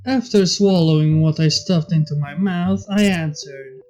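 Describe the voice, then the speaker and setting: A man's voice: fairly high pitch, a little slow, darkish tone, faint music behind. A man reading fan fiction aloud as scripted story narration.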